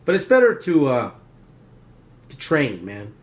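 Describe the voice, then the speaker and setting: A man's voice: medium pitch, wildly swooping pitch, a little slow. A man hosting a preparedness video, explaining in a casual, unscripted, folksy way, rambling and often checking in with "right?". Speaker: survival and preparedness video host.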